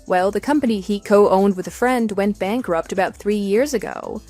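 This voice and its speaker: A woman's voice: medium pitch, moderate pace, a little mellow, soft background music, natural voice. A woman playing a composed, matter-of-fact wife with a dismissive edge in a scripted audio drama. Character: composed, wry wife divorcing her cheating husband.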